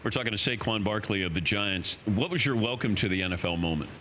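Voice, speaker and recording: A man hosting a talk show, asking questions in a brisk, direct, joking way. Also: fairly low pitch, a bit bright, a little hiss or hum. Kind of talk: interview questions, casual banter.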